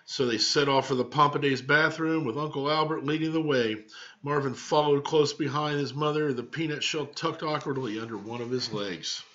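Male American teacher, storybook read-aloud, forceful tone, casual asides, lo-fi webcam sound. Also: medium pitch, moderate pace. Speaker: teacher reading aloud to children. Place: small home room, indoors. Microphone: webcam at desk distance. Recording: dull lo-fi webcam audio.

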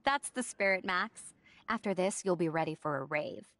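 A woman's voice: lowish voice, lively intonation, normal speed, fairly bright tone, dry recording. A bubbly teenage cheerleader in a story game, all peppy encouragement. Her voice sits in a dry, close studio sound. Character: bubbly teen girl cheerleader, upbeat. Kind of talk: video game voice acting.

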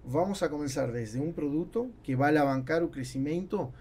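A man's voice: a little high, a little fast, darkish tone. A man in his forties, a native Spanish speaker with an Argentine accent, talking casually as a podcast guest, searching for words and slipping Spanish into Portuguese. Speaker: guest entrepreneur. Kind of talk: casual podcast interview talk. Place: small padded podcast studio. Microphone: studio microphone on boom arm. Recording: close podcast mic with background noise.

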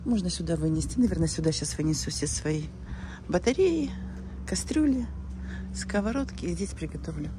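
An older woman chatting casually for a vlog, musing in unhurried short phrases, recorded on a handheld phone. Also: fairly low pitch, lively intonation, noisy background. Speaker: travel vlogger. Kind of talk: casual first-person vlog chat. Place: outdoors by the shore, in a car, in a cafe.

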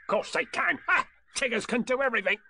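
A man's voice: very high voice, lively intonation, fast talker, creaky, bright, forceful tone, pitched above the performer's own voice, heavily acted. A man voicing a boastful, bouncy, overconfident tiger in a storybook reading, with a chuckling, exclamatory manner. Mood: cocky.

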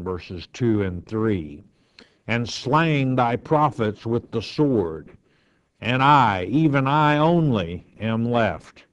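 A man preaching as a pastor, reading Bible verses aloud with emphasis and explaining them in a measured, deliberate way. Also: fairly low pitch. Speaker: pastor teaching a Bible lesson. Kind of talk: verse-by-verse Bible teaching, reading scripture aloud then commenting. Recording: roomy echo, dull lo-fi sound.

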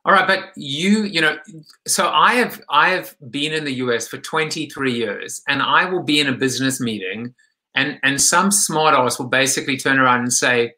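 A man in his forties from South Africa hosting a live online show from home on a webcam, talking in a halting way full of fillers. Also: medium pitch, bright, forceful tone. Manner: halting, many fillers and restarts. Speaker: host. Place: home room with lamp and blinds. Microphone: webcam at desk.

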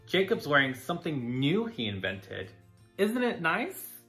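A man voicing a caring, gently firm mother in a children's storybook. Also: fairly high pitch, wildly swooping pitch, unhurried, soft background music, pitched above the performer's own voice, heavily acted. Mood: reassuring.

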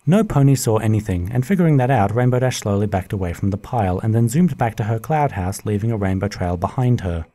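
A man's voice: fairly low pitch, animated, fast, few pauses, mellow. A man giving scripted, comedic storybook narration for a radio play.

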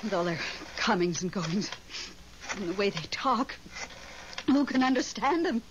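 Middle-aged widow, female actor: plaintive, devoted, animated intonation, stagey radio-play delivery on muffled vintage tape. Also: medium pitch, a little slow, natural voice. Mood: wry. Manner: emotional, theatrical. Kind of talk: 1970s radio drama acting. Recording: dull, noisy old radio broadcast recording.

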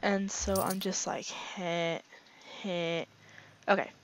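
A young woman with a raspy voice that is fading from illness, chatting casually through a let's play with lots of fillers and asides. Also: fairly low pitch, level tone, takes it slowly, music in the background. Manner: rambling, filler-heavy, chatty. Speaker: gaming channel host. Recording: slightly dull lo-fi home recording.

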